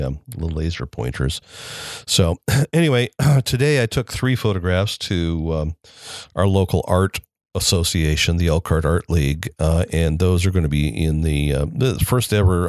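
A man's voice: deep voice, darkish tone, no room sound, clean studio sound. A man hosting his own unedited solo podcast from home, talking through many fillers and restarts. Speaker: podcast host. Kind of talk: unscripted solo podcast talk. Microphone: close desk mic.

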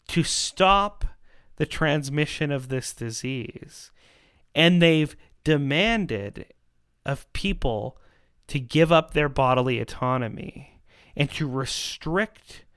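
Slow, emphatic man, clear voice. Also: fairly high pitch, fairly bright tone, dry recording, studio quality. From Canada. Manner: emphatic, rhetorical, with dramatic pauses and repetition. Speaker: podcast host and pro-life activist. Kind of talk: persuasive monologue commentary.

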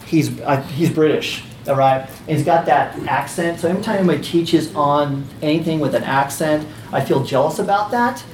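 Brief: male teacher with a slightly high, squeaky voice, rambling lecture, recorded from across an echoey room. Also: normal speed, few pauses, a little hiss or hum. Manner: chatty, many fillers and restarts. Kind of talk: casual classroom lecture. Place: classroom. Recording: roomy, distant, faint ambience.

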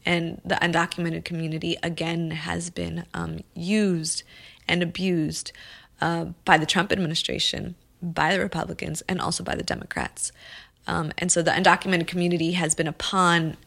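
A woman's voice: on the low side, little pitch movement, normal speed, creaky, a bit bright. A woman who grew up in Los Angeles, speaking as an immigrant rights advocate in an earnest, impassioned conversation. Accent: Los Angeles American English. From Colombia. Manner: impassioned, restarts phrases, builds to emphatic peaks.

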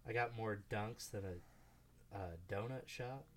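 A man in his twenties chatting casually, slangy and animated when telling a story. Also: fairly low pitch, takes it slowly. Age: 20s. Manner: animated storyteller, slangy, calls people dude. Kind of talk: casual chat.

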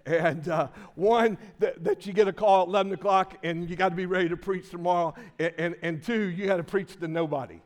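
Older male pastor, folksy sermon storyteller, slightly high voice, long church reverb. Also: fairly even intonation, a little fast, hardly any pauses. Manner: folksy storytelling with many restarts. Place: church sanctuary.

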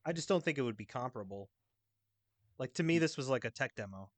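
A man's voice: medium pitch, expressive, quick pace, no room sound. A man on a podcast panel, explaining his opinions about games in an informal, rambling way. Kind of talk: casual video game discussion.

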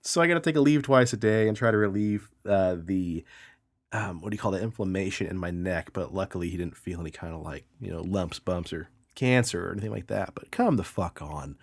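Low-voiced man in his thirties, a little nasal. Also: fairly quick. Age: 30s. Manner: animated, swearing, laughing, storytelling. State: slightly nasal from a sinus infection. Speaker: podcast co-host. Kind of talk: casual chat.

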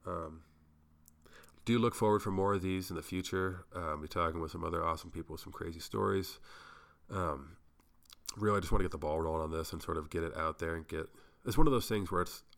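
A man's voice: low-pitched, fairly quick, gravelly, fairly bright tone. A young man hosting a solo podcast, rambling casually and swearing, sounding a little congested. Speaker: podcast host.

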